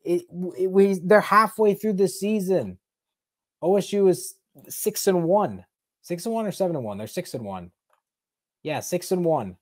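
Young male streamer, twenties, home bedroom, earbud mic; excitable, rambling, sarcastic. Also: sits high, measured pace. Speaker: sports reaction streamer. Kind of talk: live post-game reaction. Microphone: earbud headset mic on a webcam stream.

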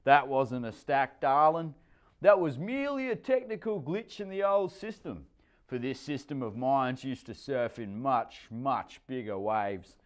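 A man voicing a boastful, cheerful middle-aged surfer stepfather, pitched higher and louder than his own voice. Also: expressive, a little slow, lightly acted. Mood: chirpy.

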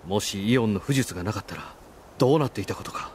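A man's voice: fairly low pitch, lively intonation, quick pace, a little mellow, slight background noise, lightly acted. A man acting a friendly, plain-spoken young swordsman in an anime audio drama. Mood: pensive. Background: faint background music.

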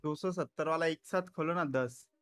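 A man's voice: fairly high pitch, normal speed, loud music behind the voice. A man giving brief, casual advice as a companion on a game stream.

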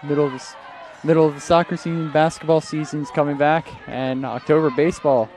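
A young man doing live play-by-play for a night soccer game outdoors, his voice strained, getting louder on attacks and correcting himself often. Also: fairly high pitch, little pitch movement, a little fast. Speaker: play-by-play commentator. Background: crowd noise.

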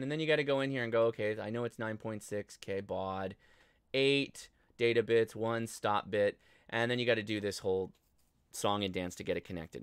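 An American man in his thirties talking through a casual livestream tutorial, conversational and halting as he thinks aloud. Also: fairly low pitch, creaky, clean tone, dry recording. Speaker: livestream host and ham radio instructor. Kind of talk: casual live tutorial with chat replies. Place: indoor home studio desk, livestream.

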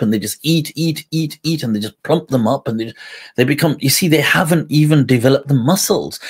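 A man's voice: medium pitch, husky. A man in his thirties, an Islamic scholar answering questions on a livestream, talking casually and animatedly, with frequent laughs and repeated words. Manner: animated, gesturing a lot, with frequent laughs and repetitions. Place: indoor studio set with a printed backdrop.

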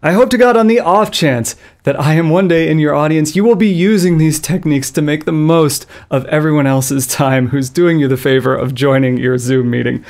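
A man in his thirties hosting a loose tech tutorial from a small studio, speaking close into a boom-arm microphone with playful, goofy asides. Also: a little mellow. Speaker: video tutorial host. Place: small studio with a blue backdrop. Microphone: studio mic on a boom arm, close.

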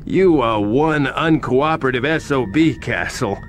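An actor playing a weary, probing police detective in a video game, wry and conversational. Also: male voice, mid-range voice, expressive, unhurried, slight background noise, lightly acted. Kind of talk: video game cutscene acting.

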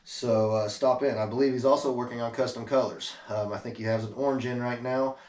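A man's voice: mid-range voice, fairly even intonation, a little fast, a little mellow. An American man in his thirties giving a laid-back, conversational product review to camera. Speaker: product reviewer, kayak angler. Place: small room indoors. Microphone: camera mic a few steps away. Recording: roomy echo, noticeable background noise, clicks.

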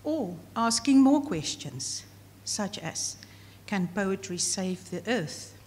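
A woman's voice: mid-range voice, expressive, a little mellow. A middle-aged woman whose first language is Afrikaans, giving a formal academic lecture from a prepared text at a podium. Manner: measured, read from a prepared text at a lectern. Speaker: professor giving inaugural lecture. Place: large hall, at a podium. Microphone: podium microphone. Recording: long hall reverb, faint room noise.

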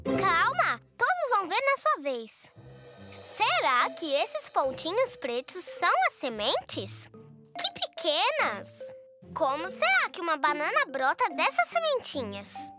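Very high-pitched curious little girl. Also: wildly swooping pitch, slow, full character voice. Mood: curious, eager. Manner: eager, inquisitive, exclaiming. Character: curious, bubbly little girl who loves experiments. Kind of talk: animated children's cartoon dialogue. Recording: music bed under the voice.

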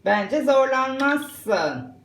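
A woman with a fairly high, creaky voice narrates and chats with a small child. She is encouraging and full of praise, speaking casually in an echoey room at home with some music behind her. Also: big pitch swings, very slow, slight background noise. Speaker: mother filming and narrating her child's puzzle activity.

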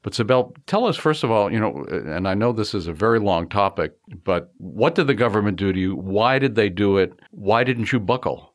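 An older man hosting an interview show, introducing his guest and asking questions with frequent pauses. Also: lowish voice, expressive. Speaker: show host. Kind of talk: interview host.

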